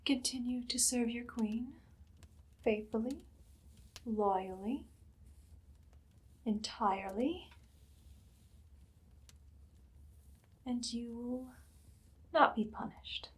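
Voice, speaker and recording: A woman playing a haughty evil queen in an ASMR roleplay, speaking in a soft, breathy, often whispered voice with imperious, sweetly sinister deliberation. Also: a little high, slow pace, long pauses. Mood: condescending, commanding. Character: evil haughty queen, casually menacing, commanding a captive servant.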